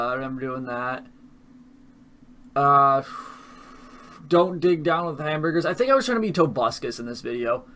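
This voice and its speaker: A man's voice: fairly high pitch, sharp tone. A young man casually commenting with profanity, mocking his own old videos.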